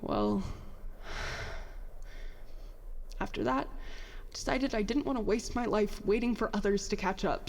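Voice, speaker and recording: A woman's voice: medium pitch, in a large hall. A woman playing a bitter, self-doubting young former prodigy in an audio drama, with a sarcastic, self-deprecating, rambling way of talking. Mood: wry, proud. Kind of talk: audio drama dialogue, confessional monologue.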